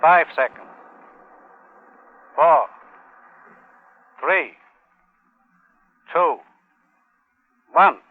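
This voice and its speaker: An actor playing a clipped, impersonal military announcer calling an atomic test countdown in a 1950s radio drama. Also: male voice, a little high, very slow, long pauses, dry recording. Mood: stern, procedural. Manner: clipped, formal, repeating commands. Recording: muffled old radio broadcast recording.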